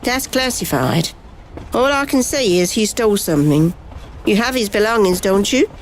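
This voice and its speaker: A woman playing a brisk, secretive, commanding FBI agent in an amateur radio play. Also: mid-range voice, sing-song, natural voice. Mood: guarded, defiant. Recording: noticeable background noise.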